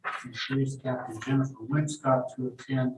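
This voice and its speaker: A man's voice: mid-range voice, flat delivery, moderate pace. A man on a city council, reading a motion in a plain, matter-of-fact way. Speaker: council member. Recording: echoey hall from far off, noise.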